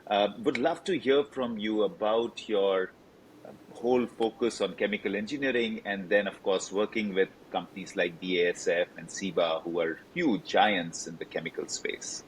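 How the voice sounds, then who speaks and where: Deep-voiced friendly male host. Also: moderate pace, a little hiss or hum, low-quality recording. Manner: friendly, effusive, with fillers. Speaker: podcast host. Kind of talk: interview hosting.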